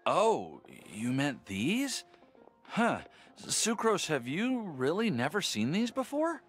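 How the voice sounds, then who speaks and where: A man's voice: on the high side, wildly swooping pitch, slow pace, faint music behind, exaggerated voice. An actor playing a friendly, nerdy young male alchemist in a video game, chatty, eager and a little sheepish. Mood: enthusiastic.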